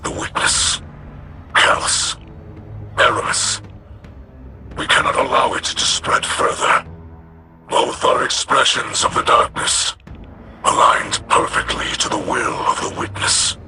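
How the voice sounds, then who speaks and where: An actor playing a grave, honorable alien warrior in a video game, speaking formally in a breathy, growling rasp. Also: male voice, medium pitch, steady tone, slow pace, long pauses, lightly acted. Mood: solemn resolve. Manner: solemn, formal speech without contractions. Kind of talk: scripted video game dialogue. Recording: music behind the voice.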